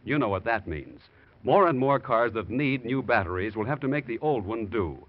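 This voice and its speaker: A man's voice: medium pitch, animated, moderate pace. A man with an American accent announcing from a script in polished, emphatic 1940s radio style. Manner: emphatic, polished sales delivery. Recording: muffled 1940s radio recording.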